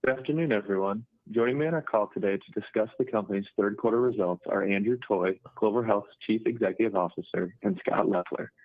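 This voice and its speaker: A man's voice: lowish voice, a little fast, few pauses, no echo, phone-quality sound. A man reading a formal corporate script as an investor relations officer on a conference call line.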